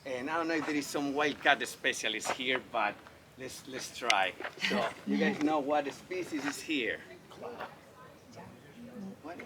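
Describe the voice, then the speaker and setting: A man with a Spanish accent gives a public lecture in a friendly, eager way, now and then asking his listeners questions. His voice sits at an ordinary middle pitch. Clear background noise from the outdoor festival runs under his words. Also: sing-song, takes it slowly. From Spain. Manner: enthusiastic, friendly, asks the audience questions. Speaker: wildlife veterinarian giving a talk. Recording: noisy outdoor festival recording.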